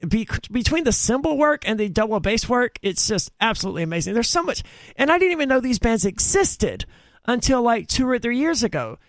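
A man's voice: very high-pitched, very animated, a little fast, no echo. A man hosting a casual podcast chat, talking in rambling, self-correcting bursts with emphatic asides. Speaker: podcast host. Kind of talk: casual chat about metal bands.

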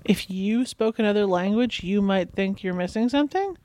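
Woman, mid-range, podcast co-host; deadpan and sarcastic, dry close mic. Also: medium pitch, moderate pace, no echo. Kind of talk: casual chat.

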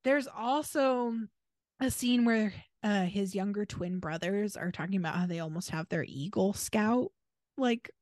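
A woman's voice: medium pitch, a little slow, darkish tone, no echo, clean studio sound. An American woman co-hosting a casual podcast, speaking in a wry, emphatic, opinionated manner. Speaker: podcast co-host.

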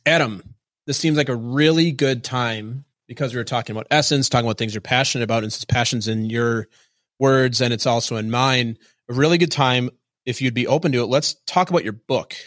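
A man's voice: medium pitch, a little fast, fairly bright tone, dry recording. Man hosting an interview, dry sound. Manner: guides the guest with repeated prompts. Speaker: podcast host. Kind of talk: interview conversation.

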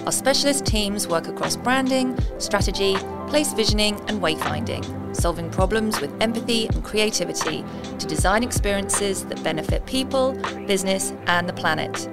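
A woman reading a scripted sponsor message in a measured, polished way. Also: mid-range voice, expressive, creaky, loud music behind the voice. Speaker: ad announcer. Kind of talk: scripted advert read.

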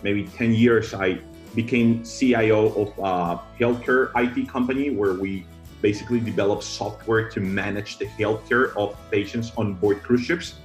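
Man, podcast guest, low voice, measured, restarts often, faint music. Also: steady tone. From Colombia. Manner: thoughtful, many restarts and fillers, measured. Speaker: guest, tech founder. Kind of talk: podcast interview.

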